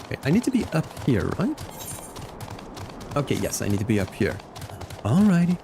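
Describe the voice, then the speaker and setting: A young Flemish man, a native Dutch speaker, streaming a game and chatting with viewers, animated and laughing often. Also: moderate pace, creaky, music in the background. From Belgium. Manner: animated, laughs often, excitable pitch jumps. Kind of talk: casual live-stream chat reading comments.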